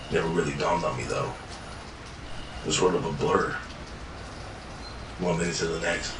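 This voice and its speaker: A man with a very deep voice tells his story in a tired, world-worn way, swearing as he goes. He sits back from the mic in an echoey room. Steady ambient noise runs underneath. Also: distant mic. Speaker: narrator. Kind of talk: first-person fiction journal narration.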